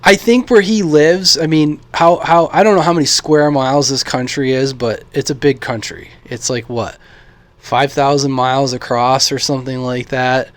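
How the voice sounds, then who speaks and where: Male hobby vlogger from the US, mid-pitched, casual and halting, dry room sound. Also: fairly even intonation, no echo. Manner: rambling, halting, thinking aloud. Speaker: radio hobby YouTuber. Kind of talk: casual unscripted vlog talk.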